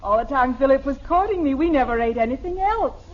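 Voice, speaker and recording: An actress playing an amused, genteel middle-aged wife in an old radio sitcom. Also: female voice, high voice, lively intonation, brisk. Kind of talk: 1950s American radio sitcom acting. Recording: muffled vintage radio broadcast.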